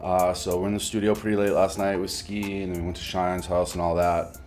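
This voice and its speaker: A young man casually narrating his vlog plans in a relaxed, unsure way. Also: sits low, brisk, faint music behind. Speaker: vlogger.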